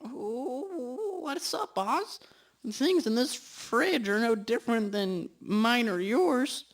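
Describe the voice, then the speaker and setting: A woman playing a loyal, slow-witted detective's sidekick in a radio drama, with hesitant, drawn-out delivery. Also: fairly high pitch, lively intonation, full character voice. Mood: puzzled. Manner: hesitant, drawn-out, humming before answering. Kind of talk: amateur school radio drama acting.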